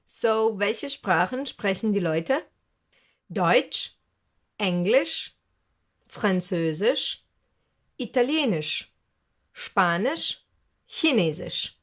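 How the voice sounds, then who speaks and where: A woman's voice: mid-range voice, expressive, extremely slow, pauses often, a bit bright. A woman teaching a recorded language lesson, speaking carefully and repeating each word for learners.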